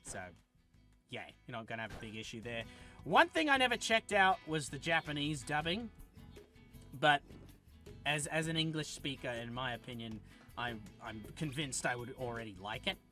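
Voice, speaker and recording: A man's voice: medium pitch, animated, normal speed, bright, forceful tone, faint music behind. A man giving a casual, rambling game review, often correcting himself as he talks. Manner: rambling and chatty, with frequent self-corrections.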